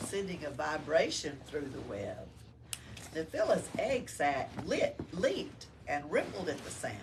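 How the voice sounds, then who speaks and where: A woman's voice: deep voice, far from the mic. A woman reading a story aloud to children as a library storyteller, explaining it in simple words. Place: library room. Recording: faint background noise.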